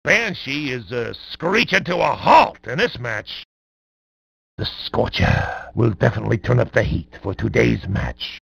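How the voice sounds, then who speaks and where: An actor voicing a bombastic, wisecracking, gleefully violent sports announcer in a video game, with a gravelly, raspy voice and hammy delivery. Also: male voice, mid-range voice, animated, a little slow, fairly bright tone, dry recording, heavily acted. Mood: wry, gloating. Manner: hammy, wisecracking, often bellowing. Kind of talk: over-the-top sports commentary for a video game.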